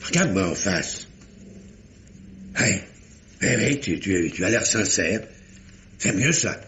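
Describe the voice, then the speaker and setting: A man's voice: low-pitched, animated, takes it slowly, fairly bright tone, echoey room, a little hiss or hum, exaggerated voice. An actor playing a fussy, authoritative middle-aged examining magistrate in a theatrical radio mystery style, often repeating his words. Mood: probing, suspicious. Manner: theatrical, hesitant repetitions, commanding. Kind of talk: 1950s French radio mystery acting.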